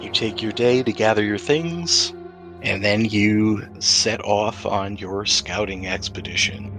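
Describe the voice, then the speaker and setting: A man with a fairly low voice runs a tabletop role-playing game. He sets scenes descriptively, making them up as he talks in a conversational style. Music plays clearly behind him. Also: slow. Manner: descriptive, improvised scene-setting. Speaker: game master.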